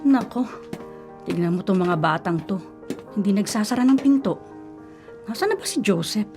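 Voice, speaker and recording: A woman's voice: mid-range voice, expressive, normal speed, a little mellow, faint music behind, lightly acted. A woman acting in a radio drama as a worried, nagging but loving middle-aged mother, scolding and fussy, with a Filipino accent. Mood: grumbling.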